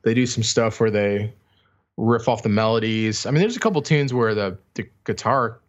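A man with a slightly low voice in a relaxed album discussion. He sounds like a podcast co-host, conversational and a little tentative in how he words things. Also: normal speed, dull audio.

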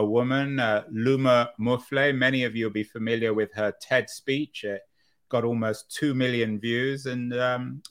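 A man hosting an interview show, introducing his guest and asking questions in a halting way with many pauses. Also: mid-range voice, a bit bright. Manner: halting, many uhs and restarts. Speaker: podcast host.

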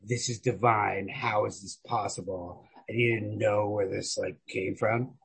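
A man's voice: on the low side, fairly even intonation, unhurried, gravelly, fairly bright tone, breathy edge. A man chatting casually about books as a podcast host, slangy and emphatic, swearing freely. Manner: profane, slangy, emphatic, hedging with repeated "like". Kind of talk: casual chat about literature.

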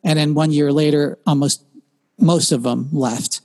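A man's voice: a little high, steady tone, measured pace, darkish tone. A man co-hosting a tech podcast, explaining at length in a rambling way, with frequent fillers and restarts. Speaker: podcast co-host. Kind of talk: casual tech chat.

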